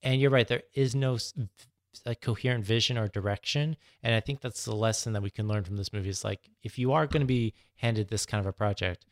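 Creaky-voiced man chatting about films. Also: on the low side, a little fast. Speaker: podcast co-host. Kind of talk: casual film discussion.

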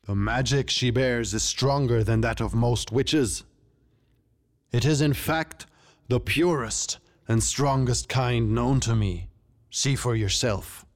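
An imperious tiger warlord king from a fantasy storybook, acted out by a male narrator. He speaks formally and deliberately, every phrase carrying command. The tone is regal and forceful. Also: mid-range voice, natural voice. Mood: commanding, intrigued.

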